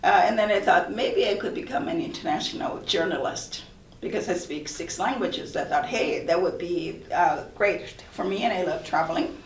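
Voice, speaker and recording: A woman's voice: on the low side, normal speed, a little hiss or hum. A woman from Thailand, a college professor, speaking earnestly and reflectively in a panel discussion, often restarting her sentences. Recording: roomy echo, far from mic.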